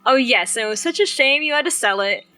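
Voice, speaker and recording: A woman's voice: high-pitched, expressive, quick pace, pushed and bright, soft background music. A woman playing a dry, teasing wife trading banter in an audio drama.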